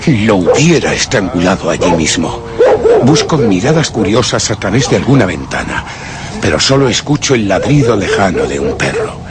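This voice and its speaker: Radio suspense: brooding male murderer-narrator, Castilian accent, literary and theatrical, animated delivery over noisy ambience. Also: lowish voice, normal speed. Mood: brooding menace. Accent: Castilian Spanish. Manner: theatrical, literary, ominous, dropping to whispers. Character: brooding, scheming murderous man narrating his crime.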